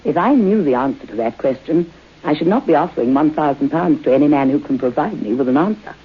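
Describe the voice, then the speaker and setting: A woman's voice: low voice, animated, quick pace, husky. An older woman acting an imperious old aristocratic lady in a radio horror drama, speaking formally and commandingly with theatrical delivery. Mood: coolly formal. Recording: dull, muffled old radio broadcast.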